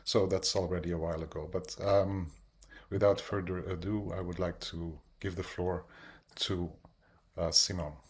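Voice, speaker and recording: A man's voice: low voice, steady tone, moderate pace, husky. A man moderating a webinar over a video call, introducing the program and speaker with many hesitant uhs. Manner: hesitant, frequent "uh" fillers.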